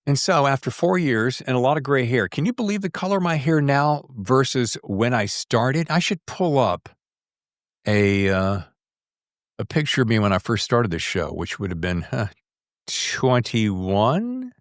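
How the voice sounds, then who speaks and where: A man's voice: medium pitch, big pitch swings, unhurried, no room sound, clean studio sound. A middle-aged or older man hosting a podcast in a casual, playful and rambling way, with self-teasing asides. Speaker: podcast host.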